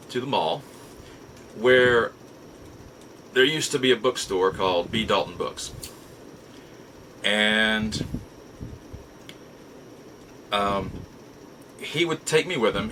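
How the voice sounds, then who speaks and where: American guy, bright crisp-edged voice, rambling nostalgic storytelling, stops and starts a lot, faint noise in the recording. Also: lowish voice, poor audio. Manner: halting, reflective, with drawn-out pauses. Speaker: podcast host. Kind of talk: casual nostalgic storytelling monologue.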